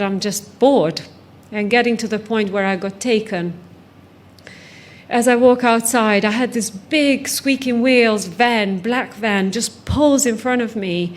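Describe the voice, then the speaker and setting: Higher-voiced woman giving personal testimony. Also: measured pace. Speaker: guest speaker, trafficking survivor and author. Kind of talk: personal testimony told to a conference audience.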